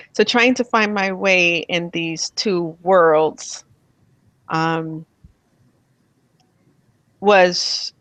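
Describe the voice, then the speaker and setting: A woman from Miami being interviewed about her childhood, speaking softly and thoughtfully with pauses and light laughs. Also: on the low side, dry recording. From Miami, USA. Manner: soft-spoken, thoughtful, with pauses and fillers. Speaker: interviewee, spiritual healer. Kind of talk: reflective personal interview.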